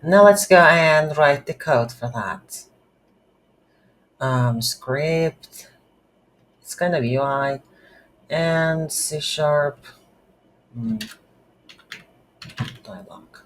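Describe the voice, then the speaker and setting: A coding instructor who is a non-native English speaker, giving an unscripted game development tutorial and thinking aloud haltingly while working. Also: medium pitch, a bit bright. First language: not English. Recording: noisy, with keyboard typing. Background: computer keyboard typing.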